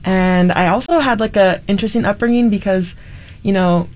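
A woman's voice: medium pitch, moderate pace, a little mellow. A woman from Hawaii speaking as a panel guest, casually reflecting on personal experience.

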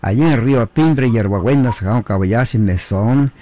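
A man hosts a bilingual community radio program in a mid-pitched voice. He works through long lists of greetings to towns and listeners far away, keeping the broadcast steady and cordial. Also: normal speed. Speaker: radio host.

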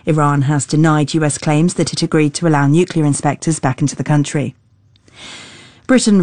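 A newsreader delivering a scripted bulletin in a formal, measured way from a radio studio. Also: medium pitch, a little mellow, no room sound.

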